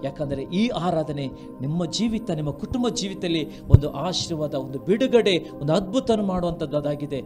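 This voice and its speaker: A man's voice: on the high side, lively intonation, moderate pace. A middle-aged man from India preaching and praying as a pastor in a fervent, exhorting way, building through repeated phrases into a handheld microphone. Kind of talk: sermon and prayer in a church service. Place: dark studio stage with coloured lighting. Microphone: handheld microphone held at chest to mouth. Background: music.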